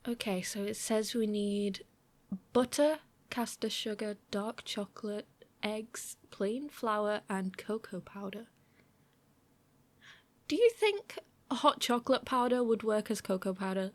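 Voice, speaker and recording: A playful young female roommate, acted by a woman in an intimate ASMR roleplay. She chats in a casual, teasing way at a medium pitch, often dropping to a hush. The sound is very dry and close to the mic. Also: slow pace, no echo, natural voice. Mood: chatty, focused. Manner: chatty, giggly, teasing, often hushed. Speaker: audio roleplay performer.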